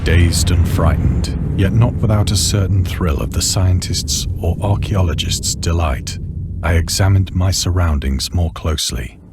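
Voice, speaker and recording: An actor playing a shaken ship's officer, narrating gothic horror in a video game in a solemn, measured, formal literary manner. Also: male voice, sits low, noisy background. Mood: solemn dread.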